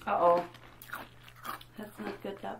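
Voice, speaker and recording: A woman in her forties chats casually, breaking in with short, blunt remarks. Her voice sits at an ordinary mid pitch, and a faint noise stays underneath it. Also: flat delivery, slow. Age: 40s. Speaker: host's mother. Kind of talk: casual chat.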